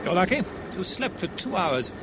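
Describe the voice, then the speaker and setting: A man's voice: high-pitched, sing-song, normal speed, heavy background noise. An actor playing an affable, worldly British airline man in a 1970s radio drama, breezy, jocular and colloquial. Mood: casual, friendly. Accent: British English.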